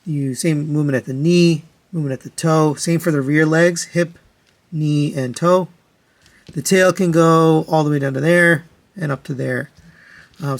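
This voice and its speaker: Higher-pitched man reviewing products casually. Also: creaky. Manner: matter-of-fact, conversational, step-by-step explaining. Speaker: toy reviewer, host.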